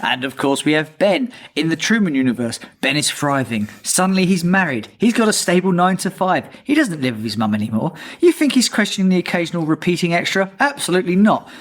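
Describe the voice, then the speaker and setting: A man hosts a casual podcast, his voice sitting a little high for a man. He keeps up joking, sarcastic banter with his co-hosts. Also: very animated, fairly quick, hardly any pauses. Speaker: podcast host.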